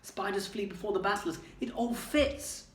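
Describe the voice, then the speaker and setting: Earnest teenage schoolboy, read by a man, raised pitch, urgent and eager, roomy distant sound. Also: moderate pace, lightly acted. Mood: excited.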